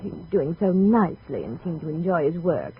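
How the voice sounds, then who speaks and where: A woman's voice: fairly low pitch, big pitch swings, fairly quick. A woman playing a poised, cultured and effusive head of an art school in a 1950s radio drama. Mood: gracious, interested. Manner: refined, effusive. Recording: dull, faintly noisy old radio recording.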